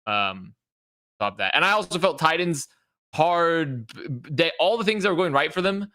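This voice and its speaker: Bright-voiced man chatting about esports. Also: on the high side, animated, moderate pace. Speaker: esports podcast panelist. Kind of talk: casual match analysis.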